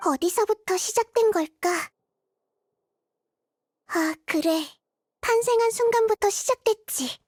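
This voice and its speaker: A woman voicing a small, gentle child goddess in a game, soft-spoken, thoughtful and wistful, with measured phrasing. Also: very high-pitched, no echo, clean studio sound. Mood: wistful, resolute. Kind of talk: Korean game voice acting, introspective monologue.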